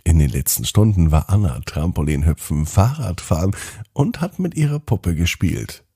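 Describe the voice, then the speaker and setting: A man telling a scripted bedtime story to children as podcast host, in an expressive storytelling manner. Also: low-pitched, moderate pace, breathy edge, no room sound, studio quality. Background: music.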